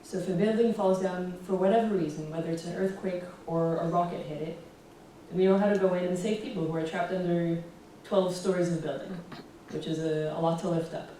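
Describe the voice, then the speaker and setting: A young American woman giving a relaxed public talk with slides, often pausing with "um". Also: on the low side. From United States. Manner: relaxed, fills pauses with ums. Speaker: guest speaker. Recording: roomy echo, distant, faint hiss.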